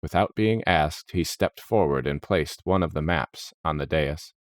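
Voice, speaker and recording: A man's voice: a bass voice, a little slow, dry recording, studio quality. A man reading scripted fantasy story narration.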